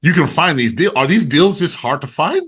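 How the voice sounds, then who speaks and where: Man in his forties, very animated pitch. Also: moderate pace, slightly rough. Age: 40s. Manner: informal, slangy, interjecting. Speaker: interview host. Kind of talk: casual interview chat.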